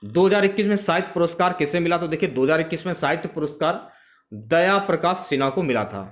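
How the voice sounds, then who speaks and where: A man's voice: on the high side, animated, fast. A man teaching as an exam coach, going through questions and repeating key facts for students. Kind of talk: instructional exam question review.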